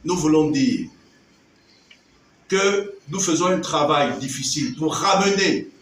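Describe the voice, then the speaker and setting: A man's voice: fairly high pitch, expressive, fairly bright tone, a little hiss or hum. Man giving an echoey political speech. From Gabon. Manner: oratorical, emphatic, measured pauses. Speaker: political movement leader. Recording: echoey, distant mic with faint ambience.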